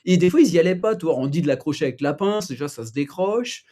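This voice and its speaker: A man's voice: a little high, expressive, quick pace, noisy background. A man hosting a casual live chat, rambling loosely with lots of fillers. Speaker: livestream host.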